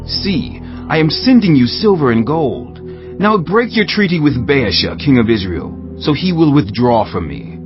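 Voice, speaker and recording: A man's voice: mid-range voice, very animated, unhurried. A man playing a commanding king who speaks persuasively and declaims in a dramatized scripture audiobook. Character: commanding male king proposing a treaty. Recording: dull sound under clear music.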